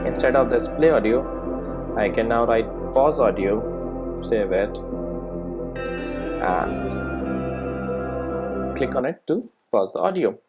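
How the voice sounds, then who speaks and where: A man with a medium-pitched voice teaches in a screencast tutorial. His intonation is lively and moves up and down a lot. He explains step by step without a script, often tagging his phrases with short checking questions. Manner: checks understanding with frequent tag questions. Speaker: programming tutorial instructor.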